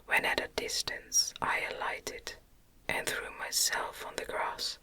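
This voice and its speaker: Female ASMR narrator for gothic literature: whispered throughout, very sharp and sibilant, unhurried, gentle delivery. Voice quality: breathy unvoiced whisper. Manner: gentle, measured. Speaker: audiobook reader.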